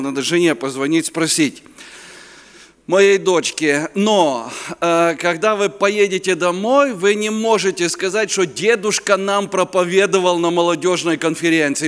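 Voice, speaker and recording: A man's voice: a little high, fairly bright tone, smooth. A man in his fifties from Ukraine, a native Ukrainian speaker with a Ukrainian accent, giving a chatty, joking sermon as a guest preacher at a youth conference, sometimes searching for words. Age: 50s. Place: conference hall. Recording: reverberant hall.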